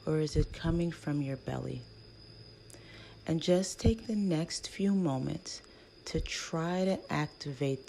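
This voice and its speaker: A woman leading a guided meditation in a hushed, soothing, breathy voice with long pauses between phrases. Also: very deep voice, low-quality recording. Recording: faint background noise.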